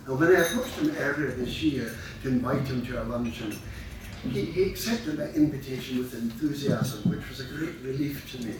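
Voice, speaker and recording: An older man giving a formal introduction of a speaker, measured, courteous and slightly halting. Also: mid-range voice. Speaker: club president introducing a speaker. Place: large room at a club event. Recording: very echoey room, distant mic, noisy.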